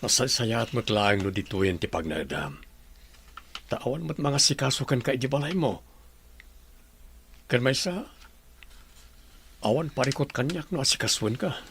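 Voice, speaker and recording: A man playing a gentle, devoted middle-aged doctor in an Ilocano radio drama, with an Ilocano accent. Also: mid-range voice, animated, a little slow, pauses often, slight background noise. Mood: tender.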